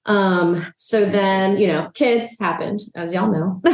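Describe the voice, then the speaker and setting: A woman from El Salvador, a real estate agent, talking in a relaxed, chatty interview with lots of "um" and "you know". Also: medium pitch, takes it slowly, roomy sound. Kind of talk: casual interview chat. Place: a rental apartment.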